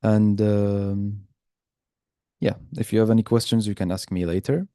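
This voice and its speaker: Man, deep voice, soft dark tone, informal guest presenter, dry close sound. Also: steady tone, measured pace, clean tone, dry recording. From Algeria. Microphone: video call.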